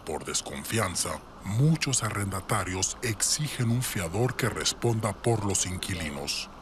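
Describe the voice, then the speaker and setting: A man narrating a scripted news report in a measured, formal way. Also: low voice, lively intonation, noisy background.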